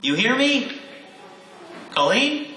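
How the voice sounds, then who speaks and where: A man's voice: extremely high pitch, big pitch swings, takes it slowly, bright, forceful tone, exaggerated voice. A man playing a henpecked, melodramatic husband full of shaky bravado in a live radio drama, with an overwrought delivery. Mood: defiant bravado. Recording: echoey, distant from mic, noisy.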